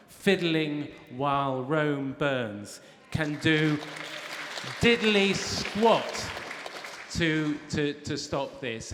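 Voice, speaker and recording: A man's voice: on the high side, fairly bright tone, slight background noise. A man from West Wales speaks from the audience into a roving mic. His voice swells into impassioned bursts. A roomy, echoing hall with faint ambience surrounds him. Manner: impassioned, with rising outbursts. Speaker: audience member asking a question.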